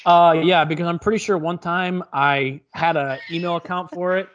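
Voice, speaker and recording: A man joking around as a podcast guest, tossing out deadpan quips. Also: fairly high pitch, normal speed, lo-fi sound, a little hiss or hum. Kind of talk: casual podcast chat.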